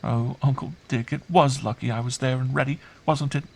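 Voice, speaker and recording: A man's voice: medium pitch, fairly even intonation, normal speed, gravelly, pitched above the performer's own voice. A man voicing a bewildered, earnest young girl in an audiobook, trusting and fragile, speaking simply. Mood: tender, earnest. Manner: hushed, simple, earnest delivery. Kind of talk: audiobook character voice.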